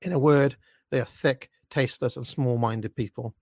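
A man's voice: medium pitch, normal speed, a little mellow, no echo, studio quality, close to an everyday voice. A man voicing a snobbish, petulant young male narrator of a story, with pompous, contemptuous, precise delivery. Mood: scornful. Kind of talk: first-person dramatic story reading.

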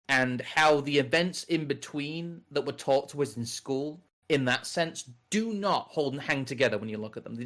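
Rambling English man, low-key voice. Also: fairly bright tone. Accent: General English. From North of England. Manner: rambling, self-correcting. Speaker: podcast co-host. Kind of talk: casual political discussion.

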